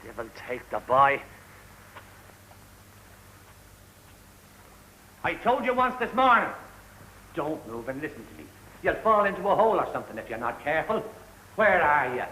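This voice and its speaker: An actor playing a gruff, fussing old sailor minding shipwrecked children, colloquial and scolding yet tender, in a classic film. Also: male voice, mid-range voice, lively intonation, brisk, pushed and bright, low-quality recording. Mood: scolding, alarmed.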